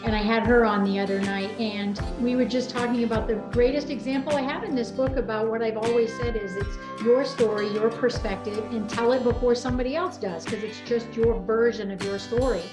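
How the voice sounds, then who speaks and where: Higher-voiced chatty woman host, echoey room. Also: fairly even intonation, fairly quick, hardly any pauses. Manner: chatty, informal, rambling. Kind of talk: casual chat interview. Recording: roomy echo, music behind voice.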